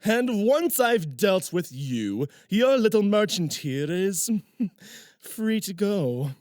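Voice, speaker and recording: A pompous, menacing nobleman sorcerer in a fantasy audio drama. He speaks slowly, with sweeping swings of pitch and a theatrical, taunting relish, in a dry, close sound. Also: sing-song, darkish tone, no echo, full character voice. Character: pompous, menacing, gloating noble-born male sorcerer villain.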